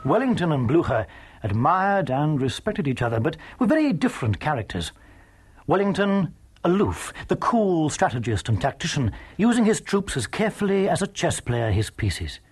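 A man giving scripted, dramatic historical narration in a measured, emphatic manner with a husky voice. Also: fairly high pitch.

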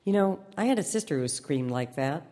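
A woman playing a brisk, scheming royal advisor with a dry, bossy manner in a comic radio play. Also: low-pitched, animated, warm tone, in a large hall. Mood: smug. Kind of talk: full-cast comic radio theatre.

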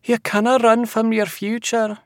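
A man's voice: high-pitched, little pitch movement, unhurried, dry recording, studio quality, voice pushed up. A man voicing an eerie female seer with a Scottish accent, making cryptic, certain prophecies in archaic dialect for an audiobook. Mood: amused. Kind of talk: dramatic audiobook character voice.

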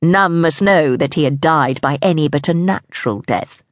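A woman's voice: low voice, moderate pace, dry recording, clean studio sound, close to an everyday voice. A woman reading the part of a sly male servant who speaks in a cold, matter-of-fact way.

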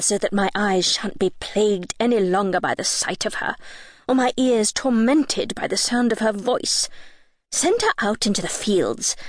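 A woman's voice: mid-range voice, no echo. Wicked old storybook stepmother, voiced by a female narrator, bossy, harsh, scolding, recorded very dry. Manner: commanding, harsh, nagging.

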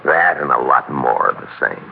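On a thin, faintly hissy old radio recording, a resentful older male lawyer. His voice is rock-bottom low and creaky. He speaks with heavy, grudging weariness in 1960s radio drama style. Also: normal speed, phone-quality sound, heavily acted. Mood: bitter, resigned.

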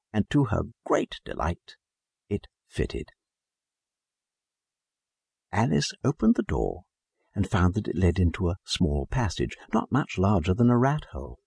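A man narrating a children's storybook as a scripted audiobook, in an expressive storytelling manner. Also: lowish voice, gravelly, breathy edge, no room sound, studio quality.

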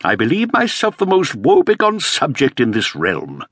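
A man's voice: fairly low pitch, big pitch swings, moderate pace, fairly bright tone, no room sound, studio quality. A man voicing a weary, self-pitying old earl. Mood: aggrieved. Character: weary aging Elizabethan earl, put-upon and self-pitying.

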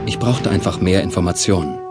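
A man playing a space traveler in an audio drama, narrating in the first person and talking to his ship's computer in a calm, measured, inquisitive way. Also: deep voice, close to an everyday voice. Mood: reflective. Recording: music underscore behind voice.

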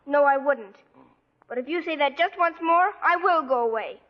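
A plucky eleven-year-old orphan girl acted by a female performer in a vintage radio drama. Her voice is extremely high, and she is pert and affectionate. The recording sounds dull and old. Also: moderate pace, natural voice. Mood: indignant, loyal. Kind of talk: 1940s radio acting.

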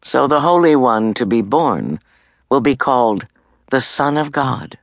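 Woman reading as a stately, expressive angel messenger. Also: a bass voice, no echo, studio quality, heavily acted. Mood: solemn, assuring. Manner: proclaiming, deliberate, stately. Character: solemn, majestic angel messenger bringing divine tidings.

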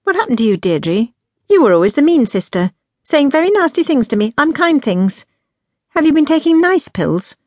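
A woman voicing a blunt, outspoken eighteen-year-old younger sister in an audiobook, sharp and direct. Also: a little high, flat delivery, normal speed, hardly any pauses, dry recording, studio quality, voice pushed up, lightly acted. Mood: taken aback, blunt. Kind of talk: audiobook character dialogue.

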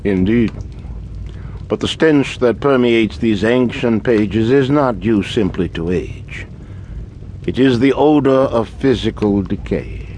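An actor playing a scholarly, secretive occultist in a radio drama, speaking in a formal, erudite, measured way. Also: male voice, lowish voice, a little mellow, a little hiss or hum. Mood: grave, ominous. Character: scholarly, secretive male occultist, nervous employer.

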